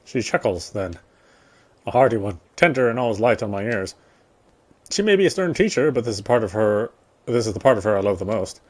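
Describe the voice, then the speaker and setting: A man's voice: on the low side, big pitch swings, fairly quick, darkish tone. A man reading visual novel narration aloud during a playthrough, sometimes stumbling and rereading a phrase. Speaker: gamer reading story text in a playthrough.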